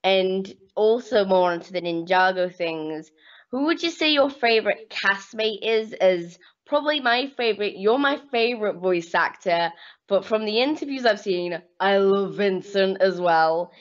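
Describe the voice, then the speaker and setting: A young woman hosting a casual video-call interview as an eager fan, murmuring along while the guest talks. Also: mid-range voice. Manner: eager fan, quick back-channel murmurs. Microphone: computer webcam mic. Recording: dull lo-fi webcam audio.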